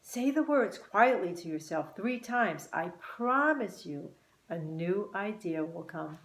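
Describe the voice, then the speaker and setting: Woman as a slow-speaking wise, kindly butterfly, echoey. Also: on the low side, expressive. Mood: gently instructive. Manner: gentle, encouraging, instructive. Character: kind, wise female butterfly gently teaching a boy. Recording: roomy, echoing room sound.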